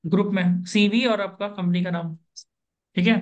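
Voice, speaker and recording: A man working as an interview coach, running an online coaching session over a video call, brisk and instructive, repeating the key points. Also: sits high, fairly even intonation, a little mellow, lo-fi sound.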